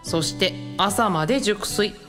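Woman doing a gentle earnest student boyfriend reading his letter, measured, soft guitar music behind, radio drama. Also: mid-range voice, lightly acted. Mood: earnest, tender.

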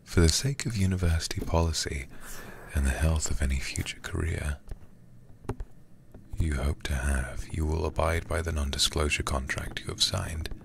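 A man streaming in a hushed ASMR style, reading horror game text deliberately into a close microphone. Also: rumbling low, steady tone, gravelly, background noise.

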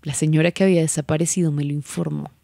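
An actress playing a cold, vengeful Colombian señora in a radio drama, taunting and deliberate in the way she talks. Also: low voice, level tone, vocal fry, mellow, no echo, clean studio sound. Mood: reminiscing, bitter. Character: cold, vengeful señora savouring her long-awaited revenge.

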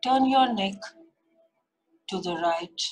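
A woman leading a guided meditation, giving gentle, unhurried instructions in short repeated phrases with long pauses. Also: mid-range voice. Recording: music behind the voice. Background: meditation music.

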